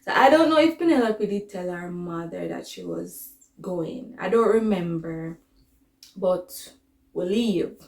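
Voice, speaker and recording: Young female vlogger, Caribbean accent, unhurried delivery, informal rambling storytime chat, recorded in an echoey room. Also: on the low side, lively intonation, darkish tone. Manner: informal, digressive, conversational. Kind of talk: casual storytime chat to camera. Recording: echoey, roomy sound.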